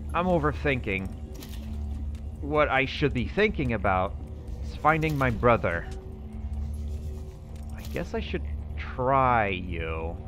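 A man's voice: mid-range voice, a bit bright. A man roleplaying a character over gameplay, thinking aloud to himself as he goes. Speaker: roleplaying gamer. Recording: echoey reverberant room with faint music.

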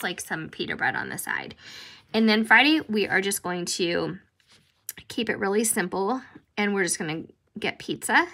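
A woman's voice: medium pitch, pushed and bright. An American woman, a middle-aged vlogger, chatting casually and emphatically in a conversational way as she narrates her planning.

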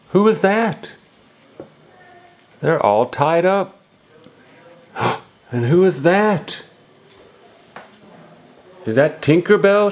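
A man reading a picture book aloud to a young child, asking simple questions and exclaiming as he explains. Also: a little high.

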